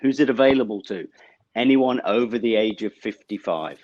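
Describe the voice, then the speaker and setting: A middle-aged man from the UK with a British accent, explaining banking products as an interview guest over a video call, measured and full of small fillers. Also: lowish voice, darkish tone, no room sound, muffled recording. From United Kingdom. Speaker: bank representative guest. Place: office with banner backdrop, indoors.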